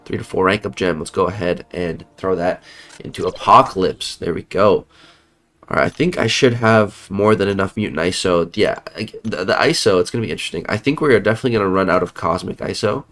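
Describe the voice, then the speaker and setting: A man's voice: deep voice, brisk, a bit bright. A young man giving casual commentary on a mobile game, sounding a bit off because he is sick, rambling and correcting himself as he talks into a headset at home. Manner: chatty, rambling, self-correcting. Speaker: mobile game content creator. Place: home room, indoors.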